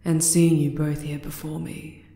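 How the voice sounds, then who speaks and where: A woman voicing a regal, possessive yet tender spider queen in an ASMR roleplay, soft and deliberate in manner. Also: low-pitched, flat delivery. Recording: roomy, echoing cave-like reverb.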